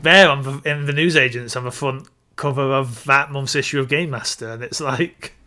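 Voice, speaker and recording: A man, co-hosting a casual podcast and recalling things in a halting, circling way. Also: mid-range voice, bright, forceful tone. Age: early 30s. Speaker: podcast co-host reviewing films. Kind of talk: casual chat, reminiscing.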